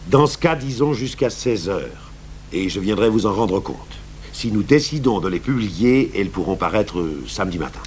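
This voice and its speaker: A man acting a thoughtful, stubborn police commissioner in a French radio crime drama, speaking deliberately with hesitant pauses. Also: lowish voice, expressive, a little mellow, natural voice. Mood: firm, calm. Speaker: actor playing a police commissioner. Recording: noisy 1980s radio recording with hum.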